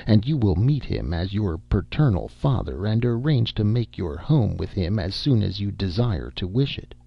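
A solemn amateur detective acted by a male narrator talks in earnest, stilted phrases. The voice is husky and the storybook recording sounds muffled. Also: on the low side, sing-song, normal speed, heavily acted. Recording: dull, roomy amateur audiobook recording.

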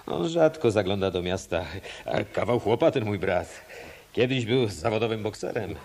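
An easygoing hotel worker in a radio drama. His low voice moves lively up and down in an unhurried, conversational way. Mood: relaxed, friendly. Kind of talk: radio play acting.

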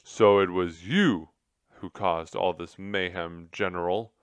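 A man's voice: on the low side, sing-song, measured pace, a bit bright, no room sound. Man as an earnest, emphatic storybook mouse. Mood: indignant, scolding. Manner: emphatic, reproachful. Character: earnest, stern male mouse, scolding gentleman of storybook.

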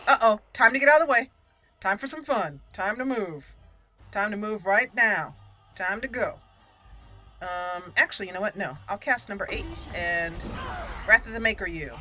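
Give me a woman doing casual video game commentary in a bright, forceful voice. She is playful and chatty, addressing the characters directly, with faint music behind her. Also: medium pitch, normal speed. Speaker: gaming channel host.

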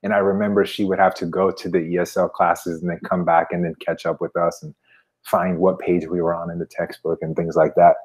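A man's voice: low voice, flat delivery, brisk, darkish tone, rough recording. A man in his thirties chatting informally and anecdotally as a podcast guest over a video call. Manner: informal, anecdotal, frequent "you know". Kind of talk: casual reflective conversation. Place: indoor room at home, video call. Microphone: wired earbuds on a video call.

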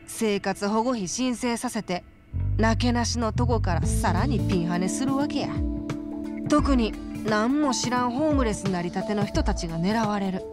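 A woman's voice: a little high, moderate pace, close to an everyday voice. An actress playing a feisty, righteous, streetwise young woman in a video game, brash and with a Kansai accent. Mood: explanatory, indignant. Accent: Kansai Japanese. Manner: brash, animated explaining. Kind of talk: video game cutscene acting. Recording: loud music underneath.

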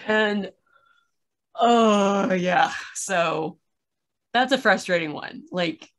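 A woman's voice: medium pitch, very slow. Woman, thirties, opinionated casual storyteller with a wry, exasperated delivery, recorded at home on a video call. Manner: animated storytelling with acted-out asides and wry exasperation. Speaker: podcast reviewer in a video chat. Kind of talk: casual chat, opinionated recounting.

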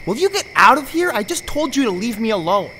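A man's voice: extremely high pitch, sing-song, fairly quick, bright, forceful tone, slight background noise, full character voice. A man with an American accent acting a defiant, sullen runaway teenage boy in an amateur radio drama, snappish and guarded. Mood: irritated, defiant.

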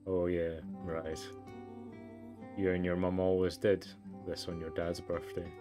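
A man's voice: low-pitched, little pitch movement, a little slow, over music. A man voicing a chatty, tactless young tiger boy in a storybook game. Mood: chatty, awkward.

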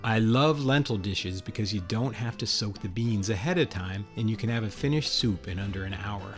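A man in his fifties hosting a cooking show, calmly explaining recipes step by step in a home kitchen. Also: lowish voice, normal speed, soft background music. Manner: friendly, explanatory, step-by-step instructions. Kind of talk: casual cooking demonstration.

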